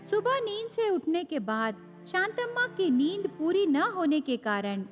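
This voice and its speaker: A woman's voice: very high voice, big pitch swings, a little slow. A woman narrating a scripted moral story for children. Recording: long reverb with faint music.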